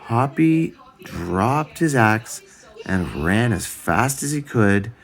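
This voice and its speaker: Slow, expressive man reading a storybook. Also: medium pitch, gravelly. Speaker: storybook reader. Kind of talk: children's story read aloud.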